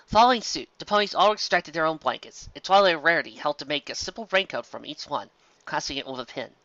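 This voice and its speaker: A man reading a story aloud as an expressive amateur narrator, recorded in dull audio. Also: on the high side, fast talker, no echo.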